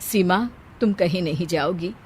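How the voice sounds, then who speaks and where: A woman's voice: on the low side, unhurried, darkish tone, husky, voice pushed down. A woman voicing a kind, forgiving elder sister in a cartoon moral story, speaking in an obliging way. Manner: kindly, obliging.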